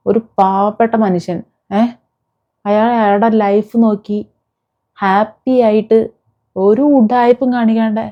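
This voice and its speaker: A woman's voice: medium pitch, mellow, muffled recording. A woman delivering an impassioned, confrontational vlog rant, scolding someone with repeated rhetorical questions. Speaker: vlogger. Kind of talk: impassioned rant addressed to an absent person.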